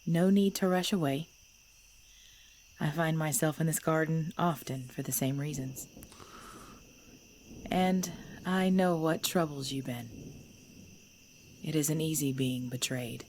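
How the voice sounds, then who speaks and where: A woman playing a centuries-old vampire mistress in an ASMR audio drama, speaking formally in a breathy, measured voice. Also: low voice, fairly even intonation, a little mellow, slight background noise. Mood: amused, gentle. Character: centuries-old vampire mistress, female, cold ruler turned protective.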